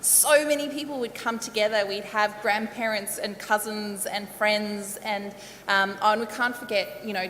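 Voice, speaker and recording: A woman's voice: medium pitch, fairly bright tone. South african woman sharing xmas memories, jokey, echoey with faint hum behind. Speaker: guest. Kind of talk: casual storytelling.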